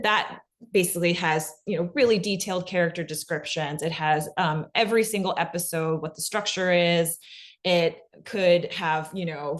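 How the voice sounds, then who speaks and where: A woman giving an informal instructional talk as a panelist, chatty and explanatory, often dropping "like" into her sentences. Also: fairly low pitch. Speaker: panelist, fiction podcast expert.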